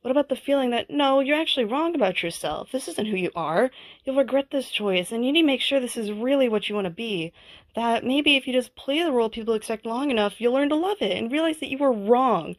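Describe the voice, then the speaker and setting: A woman's voice: fairly high pitch, expressive, a little fast. A woman's voice in a listener voice message, speaking earnestly and personally from prepared thoughts. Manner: earnest, heartfelt.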